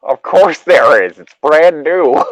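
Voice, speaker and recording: A man's voice: fairly high pitch, animated, slow pace, no echo, poor audio. A man reading a comic story casually, breaking off into jokey asides and banter. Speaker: co-reader of an internet story.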